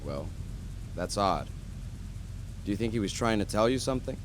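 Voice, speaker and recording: A man playing a calm, reassuring doctor with an elderly patient in an audio drama. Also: on the low side, moderate pace, close to an everyday voice. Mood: attentive, calm. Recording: loud ambient noise behind the voice.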